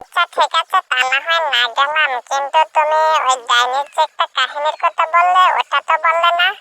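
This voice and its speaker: Woman doing a curious pushy village wife, super high pitched, bright, dry sound. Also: brisk, creaky, no echo, heavily acted. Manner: inquisitive, pressing questions. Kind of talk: cartoon voice acting.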